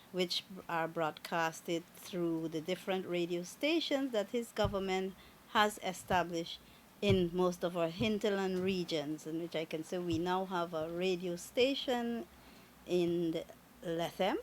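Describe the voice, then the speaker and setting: A woman from Guyana with a Guyanese accent, speaking as a government minister in a radio interview in a measured, formal way. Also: on the low side, poor audio. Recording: radio studio with faint noise.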